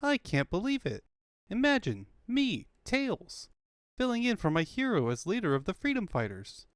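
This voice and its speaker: Guy doing a little kid cartoon fox, super eager and earnest, voice pitched up, very animated. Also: normal speed, clear, resonant tone, no echo, studio quality. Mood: eager, thrilled. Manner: excitable, naive.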